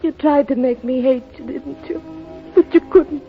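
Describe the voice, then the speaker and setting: A woman's voice: high-pitched, moderate pace. An actress playing an anguished young woman in love, in 1930s radio melodrama style. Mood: tender, pleading. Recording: dull 1930s radio recording, music behind.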